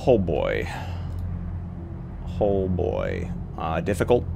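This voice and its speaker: A man's voice: low voice, huge pitch swings, very slow, gravelly. A man chatting casually as a player in a tabletop roleplaying game, hesitantly working out his actions aloud. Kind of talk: casual reactive game chat. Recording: faintly noisy live stream.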